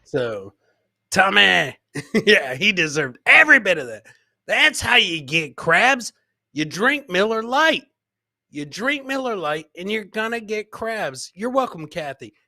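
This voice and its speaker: A man's voice: high-pitched, sing-song, unhurried, creaky, bright, forceful tone, dry recording, studio quality. A man hosting a casual live comedy show, commenting on clips, repeating his phrases and talking to his producer and viewers. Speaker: podcast host.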